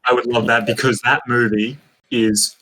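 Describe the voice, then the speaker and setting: A man's voice: mid-range voice, flat delivery, moderate pace, bright, forceful tone, poor audio. A man co-hosting a podcast in a casual film chat, speaking in an enthusiastic, emphatic way. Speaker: podcast co-host. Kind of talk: casual group chat about films.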